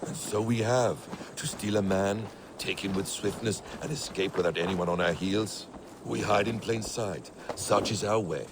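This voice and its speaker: Game role: shrewd male counsellor, actor, low and creaky, eloquent, over heavy ambient noise. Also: natural voice. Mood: sly, assured. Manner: measured, eloquent, theatrical. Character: shrewd, composed male conspirator counselling a leader. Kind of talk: video game character acting.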